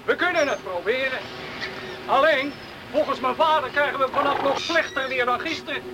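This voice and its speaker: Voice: high voice, normal speed, noisy background, close to an everyday voice. An actor playing a curious, down-to-earth teenage boy in a Dutch radio play, sceptical and bantering. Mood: sceptical, intrigued. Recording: radio play with loud outdoor ambience.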